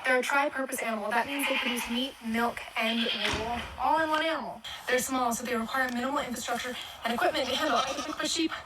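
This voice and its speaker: A woman's voice: high-pitched, fast, few pauses, heavy background noise. A woman farmer delivering a scripted explainer for a social video, with background chatter behind her voice. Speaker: sheep farmer.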